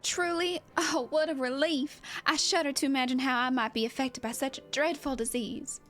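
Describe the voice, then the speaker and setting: A high, showy female voice put on by a woman for a vain celebrity fighter in a game. She drawls with theatrical, genteel sweetness, every bit the adored star. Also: fairly even intonation, moderate pace, fairly bright tone, faint music behind. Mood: relieved.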